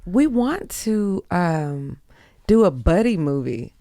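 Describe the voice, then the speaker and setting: A woman's voice: fairly low pitch, very animated, takes it slowly, mellow, dry recording, studio quality. A woman guesting on a podcast, chatting casually with slang and swearing. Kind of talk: casual chat.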